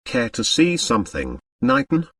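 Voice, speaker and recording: A man's voice: mid-range voice, huge pitch swings, normal speed, a bit bright, no echo, far from the mic, heavily acted. A man voicing a hard, proud American millionaire who dotes on his daughter, in an audiobook. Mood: gruffly affectionate.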